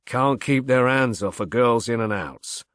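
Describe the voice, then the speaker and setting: A man's voice: mid-range voice, lively intonation, measured pace, a bit bright, dry recording. A sly private detective from an audiobook tale, put on by a man. He is flirtatious and slangy, with a knowing leer in his delivery and a dry, close sound.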